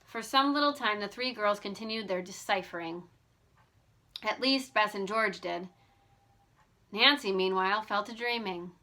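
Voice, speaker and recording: A woman reading a novel aloud as an amateur audiobook narrator, in an expressive storytelling style. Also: medium pitch, normal speed, long pauses.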